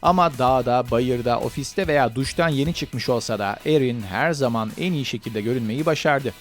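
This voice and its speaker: Male narrator for a countdown list, mid-range voice, scripted read over soft music. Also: mid-range voice, normal speed.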